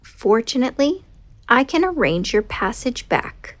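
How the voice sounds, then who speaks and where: A woman's voice: on the high side, very animated, measured pace, a bit bright. A woman voicing a huge, worldly, kindly male eagle with a formal, authoritative manner in a storybook. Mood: assured, kindly.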